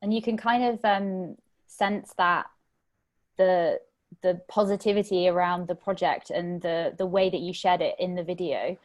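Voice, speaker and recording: Low-voiced woman hosting a chat. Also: steady tone, measured pace. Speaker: host. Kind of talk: casual interview chat.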